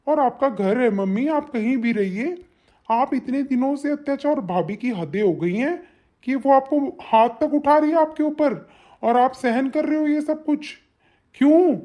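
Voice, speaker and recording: A woman's voice: normal speed, dark tone, natural voice. A woman voicing a devoted, protective adult son in a melodramatic family cartoon, with an emphatic, insistent manner. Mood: upset, indignant. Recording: dull, low-fidelity sound.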